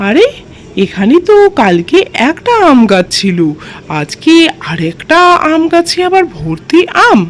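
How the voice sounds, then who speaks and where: A woman's voice: a little high, sing-song, a little slow, slight background noise, voice pushed down. A woman voicing a sly, greedy crow in a children's cartoon, scheming and pleased with himself.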